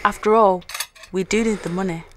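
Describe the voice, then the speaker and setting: A woman's voice: mid-range voice, a bit bright. A woman acting a fussing mother of two grown sons in a radio play, scolding and coddling by turns.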